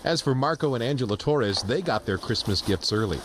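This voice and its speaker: A man's voice: mid-range voice, animated, brisk, background noise. A man narrating a scripted television news feature as a reporter.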